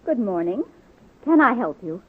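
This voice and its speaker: An actress playing a kindly but exacting superintendent of nurses in 1940s radio drama style, speaking formally and deliberately. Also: female voice, on the high side, big pitch swings, background noise. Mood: politely appraising. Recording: muffled phone-like vintage radio recording.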